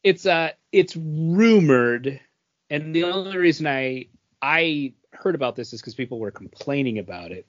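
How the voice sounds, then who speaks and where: A man's voice: on the high side, lively intonation, a little slow, dull audio. A man co-hosting a podcast, chatting casually and rambling with lots of fillers and restarts. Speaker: podcast co-host. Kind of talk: casual chat.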